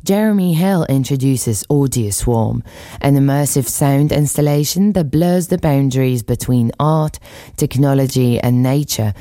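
A woman presenting a news magazine show, reading scripted introductions in a measured, polished way. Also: rumbling low, dark tone, no echo. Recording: studio voice with music bed.

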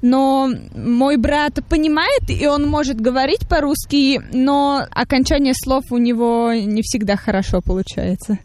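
A teenage girl with a fairly high voice answers questions on a radio program. She pauses to think and uses small fillers. The sound is close and dry. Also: darkish tone, no room sound. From Russia. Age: teens. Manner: thoughtful, hesitant with fillers. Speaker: interviewee, young jury member.

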